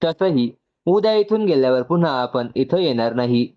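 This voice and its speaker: A man's voice: mid-range voice, lively intonation, moderate pace, muffled recording, close to an everyday voice. A man voicing a subdued young woman consoling her parent in an audio storybook.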